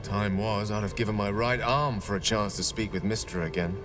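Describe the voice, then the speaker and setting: A man's voice: lowish voice, moderate pace, over music, natural voice. A man playing a proud, witty wizard in a video game, eloquent, theatrical and wry, nursing old heartbreak. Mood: wry, nervous. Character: proud, witty male wizard, wounded ex-lover of a goddess. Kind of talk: dramatic video game dialogue.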